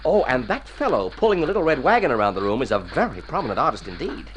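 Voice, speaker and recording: An actor playing an eccentric, pompous doctor who hems and haws in a radio comedy. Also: male voice, mid-range voice, very animated, fast, a bit bright, rough recording. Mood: pompous, distracted. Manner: hemming, pompous. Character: eccentric, hearty doctor prescribing odd rest cures. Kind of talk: mid-century radio comedy acting. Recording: old radio recording with background noise.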